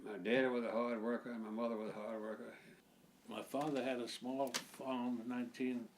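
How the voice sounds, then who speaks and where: A man's voice: mid-range voice, level tone, fairly quick, noisy background. An eighty-year-old man from Virginia, recalling his family's farm life in an oral history interview. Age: 80s.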